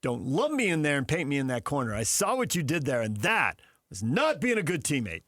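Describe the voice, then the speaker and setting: A man's voice: fairly high pitch, huge pitch swings, fairly quick, a bit bright, no echo, studio quality. A man co-hosting a sports radio show, trading arguments in playful, unscripted banter. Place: radio studio.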